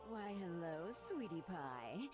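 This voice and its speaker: A woman's voice: lowish voice, big pitch swings, slow. An actress voicing a seductive, condescending female vampire in a video game, sultry and taunting. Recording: game music behind the voice.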